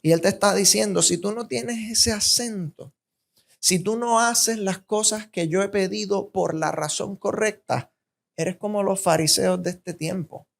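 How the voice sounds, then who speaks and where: Slow-preaching Puerto Rican man. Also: gravelly. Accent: Puerto Rican Spanish. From Puerto Rico. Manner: emphatic, rhythmic repetition, rising pitch on key points. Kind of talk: sermon.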